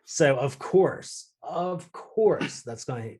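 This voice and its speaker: A man's voice: on the high side, animated, takes it slowly, darkish tone, breathy edge. A man co-hosting a casual chat, talking in an easygoing, reflective way. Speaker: co-host of a gaming chat show.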